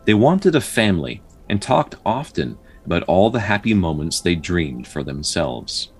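A man hosting a storytelling show, narrating folktales in a measured, dramatic way with casual asides and a husky voice. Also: sits low, big pitch swings, faint music behind. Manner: measured, pausing delivery when telling the tale.

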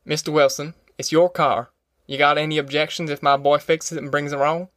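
A man's voice: fairly high pitch, little pitch movement, brisk, a bit bright, dry recording, clean studio sound, lightly acted. Man voicing a blustering country father. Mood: practical. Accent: rural Southern American. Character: bewildered middle-aged farmer father losing his authority. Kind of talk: audiobook character acting.

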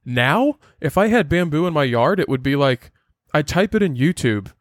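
Earnest podcast host, dry close sound. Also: male voice, a little high, sing-song, moderate pace, dry recording. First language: Portuguese. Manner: earnest, lecturing with frequent filler sounds.

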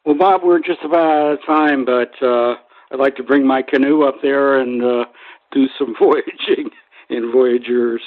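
A man hosting an informal radio interview, phrasing things in a halting, hesitant way. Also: medium pitch, phone-quality sound. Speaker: radio interview show host.

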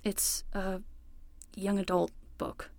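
A low-key teenage girl, acted by a woman, kindly and a little self-conscious. She talks casually and hesitantly in a naturalistic podcast drama, close and without room sound. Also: level tone, slow, a little mellow, dry recording. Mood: sheepish. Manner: casual, hesitant teen talk. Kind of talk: naturalistic fiction podcast acting.

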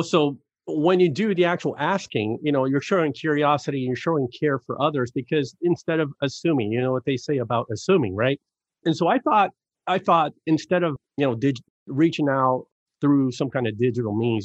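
A man's voice: on the high side, no room sound, dull audio. Affirming male host, dry close sound. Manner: affirming, musing, fills with "you know". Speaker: interview host and coach. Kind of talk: casual interview chat.

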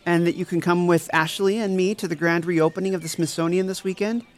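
Man playing an earnest, higher-voiced devoted best friend. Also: fairly even intonation, brisk, lightly acted. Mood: excited. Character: devoted, excitable, caring young male friend. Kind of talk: audio drama acting.

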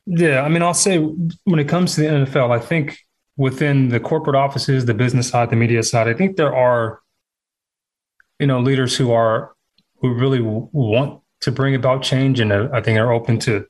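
A man speaking as a podcast guest, earnest and thoughtful, slowing down to stress his points. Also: mid-range voice, steady tone. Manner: measured, thoughtful, slows on key points. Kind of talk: earnest interview talk.

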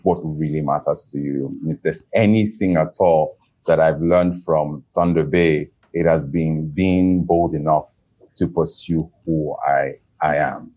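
A man's voice: very deep voice, steady tone, a little slow, warm tone, dull audio. A man giving earnest, encouraging advice in an interview, reflective and sincere.

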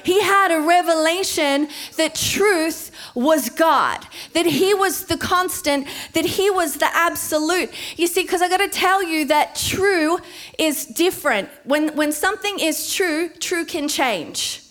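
A woman in her forties preaching a sermon, slowing to stress key words. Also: very high-pitched, a bit bright. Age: 40s.

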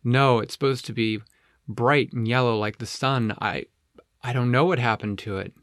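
A man voicing an earnest, rather glum and halting male tiger in a children's story. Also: mid-range voice, fairly even intonation, fairly bright tone, no room sound, clean studio sound, natural voice. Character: downcast, earnest male tiger, cat jokester and guardian.

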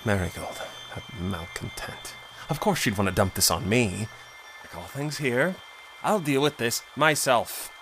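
An actor in an audio drama playing a proud, suspicious, dismissive official at a powerful court. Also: male voice, medium pitch, lively intonation, a little slow, fairly bright tone, slight background noise. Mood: indignant. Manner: imperious, dismissive, brooding.

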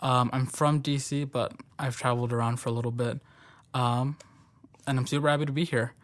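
A young man in his twenties, co-hosting a college podcast in a relaxed, friendly way with casual fillers. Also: medium pitch, brisk. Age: young adult. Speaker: podcast co-host.

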